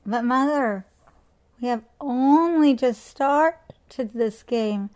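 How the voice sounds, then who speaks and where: A woman's voice: sits high, drawn-out words, slight background noise. A woman voicing a young girl who begs to stay up longer. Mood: pleading. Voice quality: loud begging. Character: pleading young girl, Susan.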